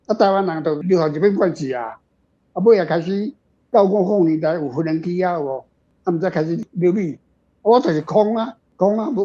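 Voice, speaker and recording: Bright-toned but muffled Taiwanese speaker, rambling along. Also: medium pitch. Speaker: guest author answering readers' questions. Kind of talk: casual storytelling discussion.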